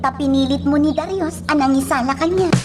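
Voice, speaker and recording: A woman's voice: high-pitched, brisk, loud music behind the voice, close to an everyday voice. A woman with an Ilocano accent playing a flustered, exclamatory character in a radio drama. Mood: teasing, chatty.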